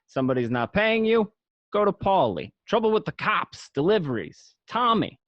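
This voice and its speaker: A man's voice: high voice, sing-song, moderate pace, dry recording. A man playing a cynical, streetwise gangster who narrates his story in a radio play. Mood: matter-of-fact, cynical. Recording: muffled, dry home recording.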